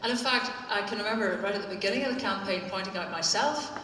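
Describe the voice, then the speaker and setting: A woman's voice: mid-range voice, quick pace, sharp tone, a little hiss or hum. A woman with a Northern Irish accent giving a witty, pointed talk to a live audience. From Northern Ireland. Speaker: speaker at a live political event. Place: theatre hall. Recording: echoey hall, speaker far from mic.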